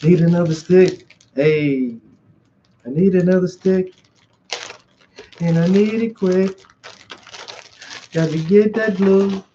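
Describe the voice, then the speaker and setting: Man, mellow and warm, high voice, casual self-talk while working, hums and sings along. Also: slow pace, long pauses, highs cut off, a little hiss or hum. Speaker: artist and channel host.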